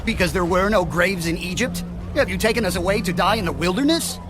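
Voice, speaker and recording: A man's voice: high voice, brisk, music in the background, close to an everyday voice. An actor playing a frightened, bitter Israelite man, urgent and accusing in a dramatized audio Bible. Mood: afraid, bitter. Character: frightened, bitter male Israelite complaining to leader.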